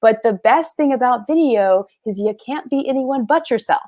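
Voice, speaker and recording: A woman's voice: high voice, animated, normal speed, a little mellow. A woman talking as the guest, giving upbeat, encouraging advice straight to viewers in a casual chat. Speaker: guest creator. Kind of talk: casual advice on a video call.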